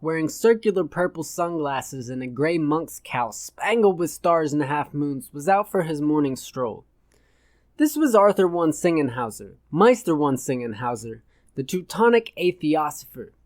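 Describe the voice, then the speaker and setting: A man's voice: on the high side, no room sound, clean studio sound. A man narrating satirical fiction as a scripted audiobook reading.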